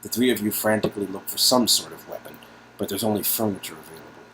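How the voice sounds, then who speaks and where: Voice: low-pitched, fast talker, fairly bright tone, a little hiss or hum, poor audio. A narrator reading an interactive story game aloud in a dramatic style, breaking into casual, joking asides.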